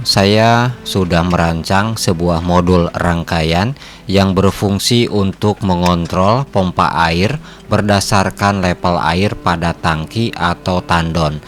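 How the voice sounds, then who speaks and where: A man reading scripted narration for a repair tutorial in a measured, formal, instructional way. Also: deep voice. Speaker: host of an electronics repair channel.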